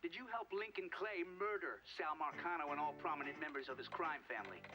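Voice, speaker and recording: A man's voice: a little high, quick pace, highs cut off, music in the background, lightly acted. A man playing a formal, probing official at a hearing in a game drama, pressing his questions. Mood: stern, probing. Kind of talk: dramatic video game acting.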